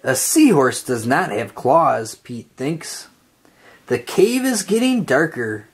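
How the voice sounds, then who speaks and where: A woman reads a picture book aloud to young children, taking her time over each line. Her voice climbs and dips through a lively, sing-song melody, with a soft, breathy edge. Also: very deep voice. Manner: slow, expressive, sing-song storybook delivery. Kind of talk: read-aloud storytelling for kids.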